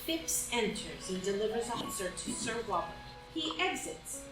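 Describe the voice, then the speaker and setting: A woman's voice: medium pitch, big pitch swings, normal speed. A woman reading stage directions as scripted narration for a play. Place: indoor room, live in-person reading. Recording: roomy live reading, distant mic, music.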